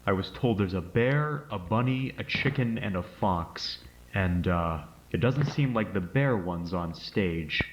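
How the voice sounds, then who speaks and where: A man playing a calm, methodical paranormal investigator, speaking his case notes in a measured, matter-of-fact way with hesitant pauses. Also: low-pitched, highs cut off, a little hiss or hum. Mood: focused, uneasy. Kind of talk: found-footage horror monologue, spoken as case notes.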